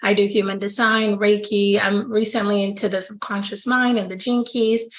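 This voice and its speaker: A woman's voice: medium pitch, flat delivery, normal speed, a bit bright. A woman from the Dominican Republic speaks as a podcast guest, casual and familiar in tone. She talks about her work openly and in a friendly way. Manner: friendly, familiar, says "girl" often. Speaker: spiritual life coach, guest. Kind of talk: casual interview chat.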